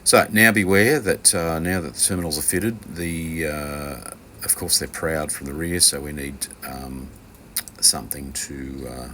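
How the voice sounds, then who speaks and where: A man's voice: extremely low pitch, a little slow, bright, forceful tone, a little hiss or hum. A man giving an informal, unscripted hands-on tutorial as an instructor, hesitant and rambling, with dry, offhand asides. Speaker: instructor from a loudspeaker kit maker.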